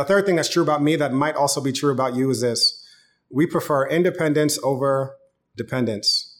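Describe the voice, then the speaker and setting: A man in his thirties preaches an online sermon like he is chatting with friends, moving easily into personal stories. His voice sits at a middle pitch, neither deep nor high. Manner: casual, conversational preaching with storytelling. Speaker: pastor.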